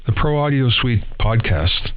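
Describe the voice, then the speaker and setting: A man's voice: mid-range voice, animated, unhurried. A man hosting a casual, conversational interview as a podcaster. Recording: dull lo-fi radio audio, noisy, clicks.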